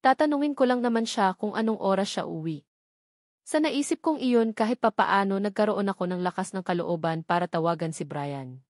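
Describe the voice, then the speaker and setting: A woman's voice: mid-range voice, lively intonation, moderate pace, dry recording, studio quality. A woman with a Filipino accent narrating a romance novel in the first person. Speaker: audiobook narrator.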